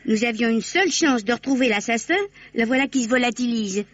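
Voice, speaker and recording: An actress playing a sceptical, curious sidekick to a detective, questioning and expressive, in 1950s French radio mystery style. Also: female voice, a little high, brisk, natural voice. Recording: dull, faintly noisy vintage radio recording.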